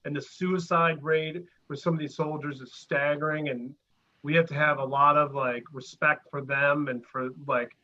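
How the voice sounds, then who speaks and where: A man's voice: fairly high pitch, fairly even intonation, normal speed, pushed and bright. A man co-hosting in casual, slangy, blunt conversation, heard over a lo-fi remote connection. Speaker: co-host. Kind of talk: casual chat.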